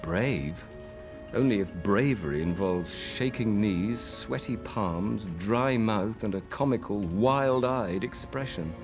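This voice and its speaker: Lively, wry wartime sweetheart. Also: male voice, on the low side, slow, music in the background. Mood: tender, self-deprecating. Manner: dry understatement, self-deprecating. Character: wry, brave young wartime man, self-deprecating sweetheart. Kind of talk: radio drama acting.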